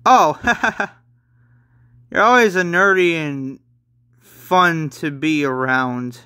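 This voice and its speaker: Teenage manga officer, read by a man: familiar, grumbling, bright, unhurried. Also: a little high, clear, resonant tone, no room sound, clean studio sound, full character voice. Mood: teasing, grumbling. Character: fourteen-year-old boy officer, friendly, grumbling.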